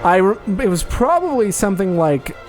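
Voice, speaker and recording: A man with a slightly high voice chats as co-host of a comedy podcast. His delivery is casual and bantering, with music clearly playing behind him. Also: sing-song, normal speed.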